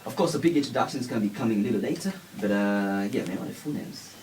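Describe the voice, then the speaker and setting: A man's voice: on the low side, lively intonation, very fast, a little mellow. A man hosting a casual radio interview, rambling and laughing often. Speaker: radio host. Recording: distant mic with faint background noise.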